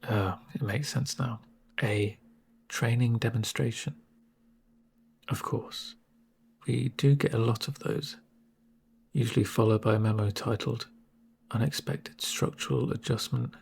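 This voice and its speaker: A man playing a calm, reassuring medic with dry humour in a soft-spoken, hushed ASMR roleplay. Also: medium pitch, gravelly, husky. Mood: dry, wry. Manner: unhurried, soothing, deadpan asides. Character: calm male corporate medic, gentle, reassuring, drily sardonic. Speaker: medic caring for a patient.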